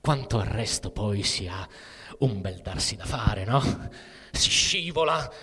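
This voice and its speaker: A husky-voiced, disillusioned man delivers a bitter literary monologue in a theatrical reading. He speaks slowly and broodingly, with halting phrases. His voice carries a long reverb, as in a large hall. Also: lowish voice, animated, bright, forceful tone, exaggerated voice. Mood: weary, bitter. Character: bitter, disillusioned male narrator musing on human misery. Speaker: actor performing a literary text. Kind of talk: dramatic literary reading with music.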